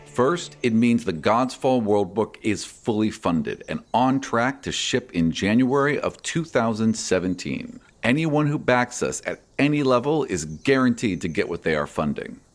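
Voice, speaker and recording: Man hosting a podcast, lively rising and falling delivery. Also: on the low side, measured pace, hardly any pauses, faint music behind. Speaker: podcast host and game master. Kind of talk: scripted podcast announcement and narration.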